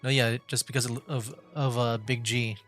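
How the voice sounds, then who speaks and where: A man's voice: medium pitch, little pitch movement, moderate pace, a bit bright, faint music behind. A man hosting a game livestream with casual commentary, musing aloud in a rambling way. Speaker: livestream gamer host.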